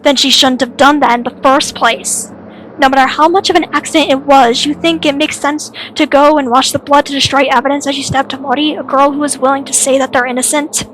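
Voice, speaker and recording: A woman's voice: sits high, fairly even intonation, a little fast, fairly bright tone, slight background noise, low-quality recording. A woman voicing a cold, uncompromising young student leader in a drama, argumentative and stern. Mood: stern, accusing.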